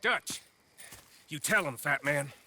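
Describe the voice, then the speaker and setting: An actor playing a sneering, goading American outlaw gunslinger in a western video game, with a mocking drawl. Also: male voice, a little high, lively intonation, slow pace, pushed and bright, slight background noise, full character voice.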